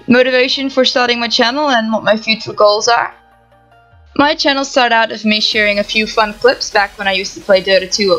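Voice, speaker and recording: A young woman from the Netherlands with a Dutch accent, calmly reading out answers to viewer questions for her gaming channel. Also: medium pitch, poor audio. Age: young adult. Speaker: gaming YouTuber. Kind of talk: reading Q&A answers to camera, no face cam. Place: home setup, voice-over without camera.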